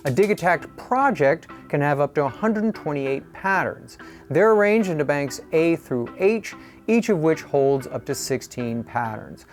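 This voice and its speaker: Man presenting a scripted gear review over music. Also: on the high side. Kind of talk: scripted product review narration.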